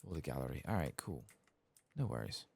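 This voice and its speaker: A man with a gravelly, husky voice talking softly as he hosts an ASMR video game playthrough, at times drifting into whispers. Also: low-pitched, sing-song, a little fast. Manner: soft-spoken, murmuring, drifting into whispers. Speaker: host playing a video game for an ASMR channel. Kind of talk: soft-spoken ASMR game playthrough, reading quests aloud.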